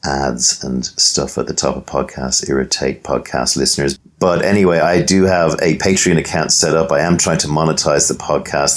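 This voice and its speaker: A man with an Irish accent hosting a podcast, talking casually to listeners and guests. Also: a bass voice. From Dublin, Ireland. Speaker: podcast host. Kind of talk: casual podcast introduction and interviewing.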